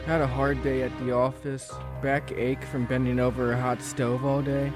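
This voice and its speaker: A man announces a radio program from a script in old-time style. His voice is mid-pitched with little melodic movement, over soft music. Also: flat delivery, normal speed.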